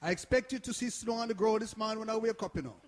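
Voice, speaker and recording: A man's voice: high-pitched, fast talker, lightly acted. A man acting in a radio play as a blunt committee member given to grumbling. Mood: chatty. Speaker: actor in a community radio play. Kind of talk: radio drama performed before an audience.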